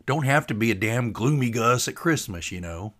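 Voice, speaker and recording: A man's voice: medium pitch, animated, normal speed, fairly bright tone. A man voicing a blunt, breezy boat-owning buddy who needles his friend in colloquial talk, in a narrated story. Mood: needling.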